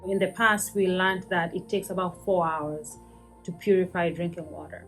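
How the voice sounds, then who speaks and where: A woman professor from Kenya, explaining her research in a measured way with frequent pauses and ums. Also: on the low side, fairly even intonation, fairly bright tone, soft background music. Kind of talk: interview about research.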